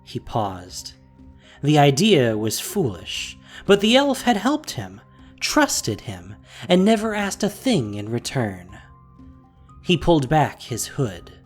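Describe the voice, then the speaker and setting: A woman narrating a fantasy audio drama in an expressive, scripted storytelling style. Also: a bass voice, slow pace, slightly rough, soft background music.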